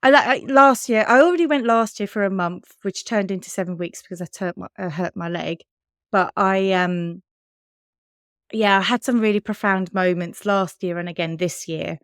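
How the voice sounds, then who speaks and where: A woman in her thirties with an English accent talks candidly on a podcast, laughing now and then. Her voice is smooth and clean. The sound is dry and close. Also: medium pitch, moderate pace, a bit bright, dry recording. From Switzerland. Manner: chatty, candid, laughs often. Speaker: podcast co-host. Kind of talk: casual chat.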